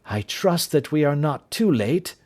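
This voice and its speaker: A man voicing a sharp-minded male detective who speaks crisply and decisively. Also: on the high side, animated, unhurried, voice pushed up. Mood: urgent.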